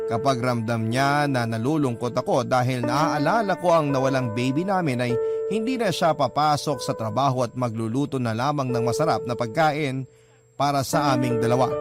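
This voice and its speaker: A man with a Filipino accent narrating a scripted story in a dramatic radio style. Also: medium pitch. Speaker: narrator reading a letter sender's story. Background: loud instrumental music.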